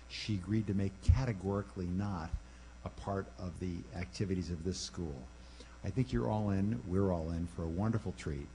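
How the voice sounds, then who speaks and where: A man, a school dean, gives a formal introduction of a guest speaker, halting now and then with fillers. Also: on the low side, mellow, a little hiss or hum, low-quality recording. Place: university auditorium.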